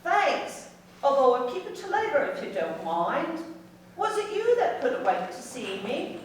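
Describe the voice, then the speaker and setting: High-pitched, proud barmaid in echo. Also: female voice, sing-song, slight background noise. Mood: brisk. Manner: brisk, teasing, haughty. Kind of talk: radio play acting. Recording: very echoey, distant from the mic.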